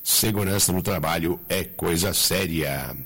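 Man, Brazilian accent, deep low pitch, husky and hoarse with a cold, folksy local radio host. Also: measured pace, fairly bright tone. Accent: Brazilian Portuguese. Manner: folksy, repeatedly checking in with listeners. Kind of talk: chatty live local radio hosting with sponsor reads.

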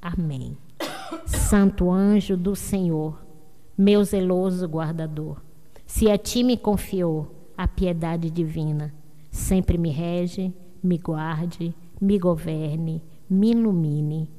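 A woman's voice: on the low side, dark tone. Solemn woman leading radio prayers. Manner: slow, solemn, intoning. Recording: long reverb.

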